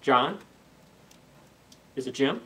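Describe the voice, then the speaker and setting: A man's voice: mid-range voice, expressive, very slow, sharp tone, exaggerated voice. A man voicing a distressed, helpless young miller's daughter in a plaintive, earnest storybook reading. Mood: hesitant guessing. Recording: slightly distant camera microphone.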